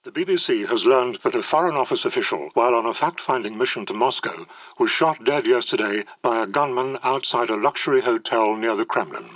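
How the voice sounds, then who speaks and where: An actor playing a sober British radio newsreader, measured and formal in delivery. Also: male voice, mid-range voice, animated, fairly bright tone, dry recording, rough recording. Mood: sober, neutral. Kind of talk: radio news bulletin.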